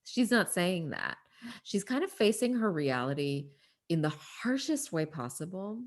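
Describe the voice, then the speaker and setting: A woman's voice: on the low side, very animated, measured pace. A woman talking through Bible stories in conversation, earnest and emphatic. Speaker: podcast discussion partner.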